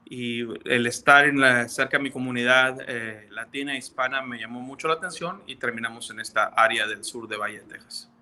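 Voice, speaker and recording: A man's voice: mid-range voice, normal speed, hardly any pauses, sharp tone, slight background noise. A man from Mexico with a Mexican accent, a physician explaining things earnestly in a video-call interview, with many fillers. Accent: Mexican Spanish. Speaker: physician guest.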